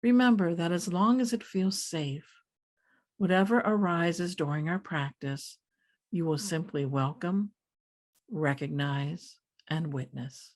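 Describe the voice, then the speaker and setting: Calm woman guiding a meditation. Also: fairly low pitch. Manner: calm, deliberate phrasing with pauses. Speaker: meditation teacher. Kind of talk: guided meditation narration.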